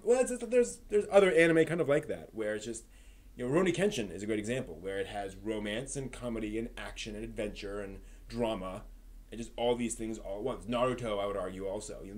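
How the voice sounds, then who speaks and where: A man hosting a live stream, talking through anime reviews and discussion in a conversational way. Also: on the low side.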